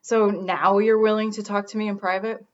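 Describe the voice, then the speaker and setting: A woman's voice: mid-range voice, flat delivery, normal speed, close to an everyday voice. A woman playing a sarcastic, defensive radio-show boss in a naturalistic comedy drama, recorded in dull lo-fi sound. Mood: combative. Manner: sarcastic, confrontational.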